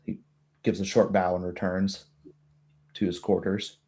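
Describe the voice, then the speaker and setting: Mellow, low-voiced male game master. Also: flat delivery, a little slow. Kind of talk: improvised tabletop roleplay narration.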